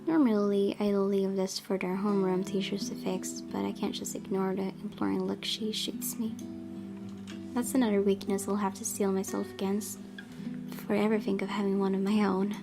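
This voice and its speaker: A woman's voice: mid-range voice, flat delivery, normal speed, vocal fry, over music. A woman hosting a gaming channel, reading a visual novel's narration aloud with occasional asides.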